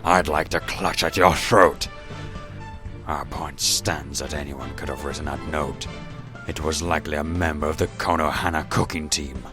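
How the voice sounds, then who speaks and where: A man playing a gruff, pompous older man arguing combatively as defense in an amateur radio play. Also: extremely low pitch, steady tone, moderate pace, over music.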